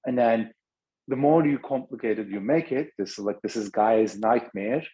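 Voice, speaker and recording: Middle-aged turkish guy rambling through a webinar talk, husky voice. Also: mid-range voice, normal speed, dark tone, poor audio. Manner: loose, thinking aloud with many restarts. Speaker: startup founder presenting.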